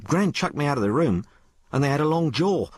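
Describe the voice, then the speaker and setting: A man voicing a rough, frank working-class young woman in an audiobook, blurting out her tale in colloquial, ungrammatical speech. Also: fairly high pitch, big pitch swings, fairly quick, dry recording, studio quality, voice pushed up. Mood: frank, gossipy. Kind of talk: audiobook character dialogue.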